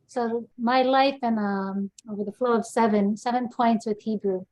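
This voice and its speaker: A woman rabbi talks in a lively, chatty way over a video call. Her voice sits a little high. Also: normal speed, poor audio. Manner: lively, chatty, sings snatches of song. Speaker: synagogue rabbi. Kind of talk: webinar personal remarks.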